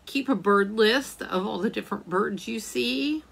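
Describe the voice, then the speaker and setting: A woman librarian reading a picture book aloud at storytime, slowly and gently, pausing to ask the children questions. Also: on the high side, creaky, slightly rough.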